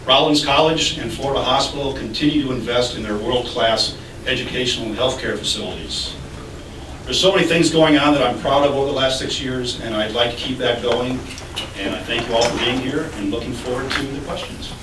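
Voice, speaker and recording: A man's voice: medium pitch, a little fast. A man giving an opening statement as a city commissioner candidate at a public debate, in a friendly, slightly joking manner. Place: public library meeting room. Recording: echoey room, distant mic, noisy.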